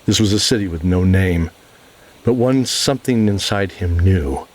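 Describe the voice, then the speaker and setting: A man's voice: deep voice, moderate pace. A man working as narrator, reading scripted fantasy narration. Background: music.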